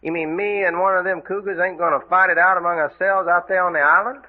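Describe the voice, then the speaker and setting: An actor playing a folksy, indignant captive adventurer with a Southern US accent, drawling and grumbling in old-time radio drama style. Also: male voice, high voice, steady tone, quick pace, lightly acted. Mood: wary indignation. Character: folksy, indignant male adventurer held captive, plain-spoken. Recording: dull, lo-fi radio drama recording.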